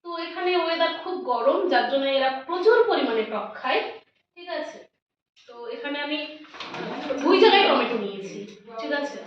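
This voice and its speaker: High-pitched West Bengal woman, very echoey. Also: expressive, brisk, long pauses. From West Bengal, India. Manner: chatty, addresses viewers as friends. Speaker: lifestyle vlogger. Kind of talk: casual chatty vlog, showing shopping to viewers. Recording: roomy echo, distant mic, dull, faint noise.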